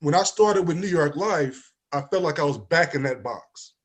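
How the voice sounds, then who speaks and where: Man in his 40s, slightly raised pitch, animated talk-show guest who acts out his stories. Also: moderate pace, breathy edge. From East Saint Louis, Illinois, USA. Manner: animated storyteller, acts out quoted dialogue, often adds "you know what I mean". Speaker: guest, life insurance agent. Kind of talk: casual talk-show conversation.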